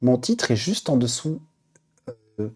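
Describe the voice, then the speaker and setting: A man's voice: mid-range voice, sing-song, a little slow, slightly rough. A man hosting a casual live stream, reading names from the chat aloud and hesitating with lots of fillers as he queues up listeners' tracks. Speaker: Twitch stream host.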